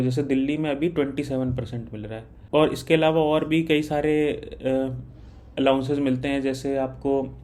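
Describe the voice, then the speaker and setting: A man's voice: medium pitch, darkish tone. A man giving an informal, instructional explainer and mixing in many English terms. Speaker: exam guidance content creator. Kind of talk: informal explainer of recruitment details.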